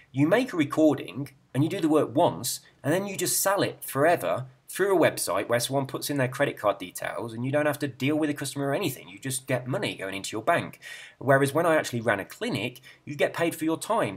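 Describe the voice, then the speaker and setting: A middle-aged man chatting casually, rambling and easily sidetracked as he browses a website, with a soft, relaxed way of speaking. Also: mid-range voice, slightly rough. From England. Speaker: YouTuber and hypnotist.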